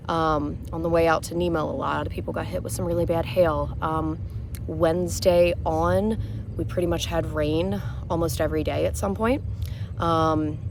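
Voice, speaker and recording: A woman with a hoarse voice, speaking as a rally vendor in a chatty, matter-of-fact way. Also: deep voice, noisy background. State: hoarse, losing her voice. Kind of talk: casual vlog chat to camera. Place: outdoor campground.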